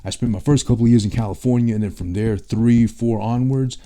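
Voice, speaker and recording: A man's voice: mid-range voice, a little fast, warm tone. A young man from Peru with a New York accent, rambling through a casual monologue with blunt, profane talk. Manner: rambling, thinking aloud.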